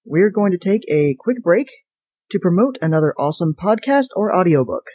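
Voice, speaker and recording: A man's voice: high-pitched, lively intonation, moderate pace, a little mellow. A man hosting a podcast in a casual, conversational style. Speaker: podcast host.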